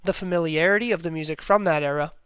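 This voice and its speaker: A man's voice: fairly high pitch, fast, a bit bright, clean tone, dry recording, clean studio sound. A man reading a scripted analytical video essay in a formal, academic manner.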